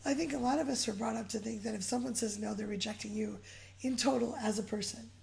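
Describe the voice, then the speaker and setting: A woman co-hosting a casual advice chat, hoarse and raspy as she gets over a cold, relaxed and conversational. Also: fairly high pitch, quick pace. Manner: relaxed, conversational, laughs easily. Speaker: co-host.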